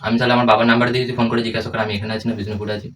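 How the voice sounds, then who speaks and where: A man's voice: lowish voice, flat delivery, rapid-fire, fairly bright tone. A young man chatting casually as a prank caller, in a teasing, coaxing manner. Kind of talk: casual phone chat. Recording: distant mic, faint background noise.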